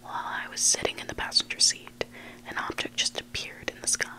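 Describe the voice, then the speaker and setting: A person reading stories aloud in a breathy, hushed ASMR whisper, close to the mic. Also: fairly quick, rough recording. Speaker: host reading listener-submitted stories. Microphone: close mic, made for headphones.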